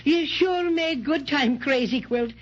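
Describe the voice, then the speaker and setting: Woman as a high-pitched, plucky toy bear. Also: faint music behind. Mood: plucky, urgent. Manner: slangy, brisk, bossy. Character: small, funny, plucky stuffed toy bear. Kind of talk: 1930s radio acting. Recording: muffled 1930s radio recording.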